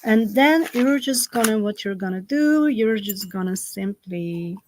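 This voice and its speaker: A woman with a Slovak accent, a native Slovak speaker, hosting a live-streamed ritual from home and giving slow, gentle instructions full of fillers. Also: mid-range voice, animated, slight background noise. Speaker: spiritual ritual host. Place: home in the south of France. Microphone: computer on a live stream.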